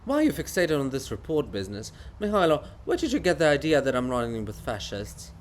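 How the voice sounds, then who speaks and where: A man voicing a living monument, a patient, gently needling friend, soft-spoken and measured in a theatrical reading. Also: medium pitch, wildly swooping pitch, slight background noise. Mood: chiding. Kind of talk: theatrical dramatic reading of a farce.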